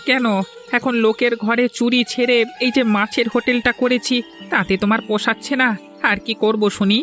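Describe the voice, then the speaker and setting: A man with a West Bengal accent voicing a reformed thief turned eatery owner in a cartoon, with a smooth-talking, self-justifying manner. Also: extremely high pitch, level tone, moderate pace, sharp tone, soft background music. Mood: defensive. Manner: obsequious to betters, self-justifying. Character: ingratiating reformed male thief running cheap fish eatery. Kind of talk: cartoon voice acting.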